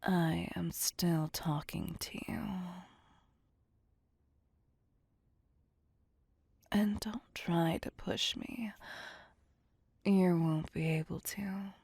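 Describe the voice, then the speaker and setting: An ancient female vampire, acted by a woman for an ASMR roleplay, possessive and playful. She speaks very slowly in a dark, breathy near-whisper, coaxing and commanding the listener as her prey. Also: lowish voice, steady tone, no echo, full character voice. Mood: menacing, teasing. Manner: slow, teasing, coaxing and domineering.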